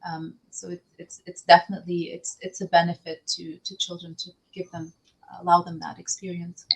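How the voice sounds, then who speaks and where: A woman's voice: lowish voice, little pitch movement, normal speed, gravelly, slightly rough, poor audio. A woman from Egypt moderating a panel, speaking with frequent restarts and repeated words. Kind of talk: panel discussion.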